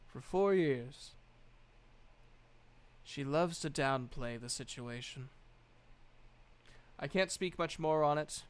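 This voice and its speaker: A man voicing a composed female veteran officer in a tabletop game, speaking in a measured, polite, official way. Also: medium pitch, clear, resonant tone, close to an everyday voice.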